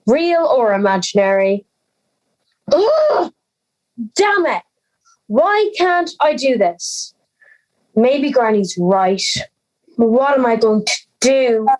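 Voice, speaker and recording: Young woman playing slow, high-voiced anxious schoolgirl. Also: a little mellow, poor audio. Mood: glum, wistful, then frustrated. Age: teenage or young adult. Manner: dramatic, halting, self-questioning. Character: anxious defiant schoolgirl, Little Red, hiding in woods. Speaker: youth theatre actor. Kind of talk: audio drama monologue and dialogue.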